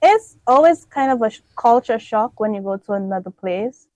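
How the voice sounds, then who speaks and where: A young woman speaks in a fairly high voice, close and dry on the mic. She is a radio interview guest, reflective and quick to laugh as she talks about her roots. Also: animated, no echo, highs cut off. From Dominica. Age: 20s. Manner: warm, reflective, laughs often.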